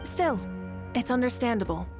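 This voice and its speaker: A woman's voice: fairly high pitch, expressive, moderate pace, gravelly, music in the background. A woman voicing a composed, reassuring and confident female warrior leader in a video game. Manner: composed, assured. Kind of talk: video game character dialogue.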